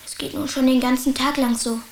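A woman's voice: high-pitched, fairly even intonation, a little fast, vocal fry, echoey room, very noisy. A woman playing an earnest, curious and stubborn young boy with a childlike, questioning way of talking in a radio play. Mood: worried.

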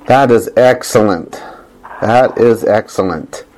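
A man's voice: slow pace, darkish tone, poor audio. A man hosting a podcast, chatting casually with enthusiasm and frequent laughs. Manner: enthusiastic, laughing often, with big pitch jumps. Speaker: podcast host.

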